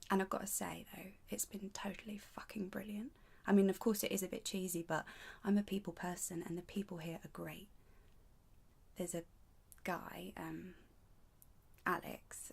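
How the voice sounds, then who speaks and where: A frank, raw young woman confides in a creaky, mellow voice, played by an actress in a monologue. She is breathy and often sinks almost to a whisper. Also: lowish voice. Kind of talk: dramatic monologue acting.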